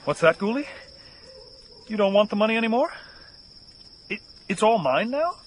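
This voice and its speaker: An actor playing a weary, desperate man on the run in an audio horror drama, halting and theatrical, musing with sardonic wit. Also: high-pitched, sing-song, a little hiss or hum. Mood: incredulous, pleased. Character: weary desperate male fugitive, rambling, wry, unravelling.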